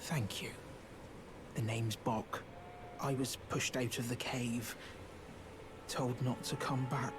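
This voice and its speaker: A man's voice: mid-range voice, steady tone, slow pace, long pauses, noisy background, lightly acted. A man playing a timid, plaintive little outcast creature in a video game, hesitant and stammering as he pleads. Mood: grateful, wistful. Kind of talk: video game character acting. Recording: game audio under loud music. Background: loud game music.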